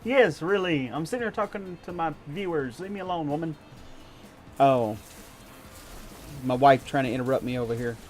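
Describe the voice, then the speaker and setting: A man's voice: fairly high pitch, expressive, normal speed, pauses often, soft background music. A man from the United States chatting with his viewers while gaming, rambling and chuckling and poking fun at himself. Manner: rambling, chuckling, self-deprecating. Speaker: streamer.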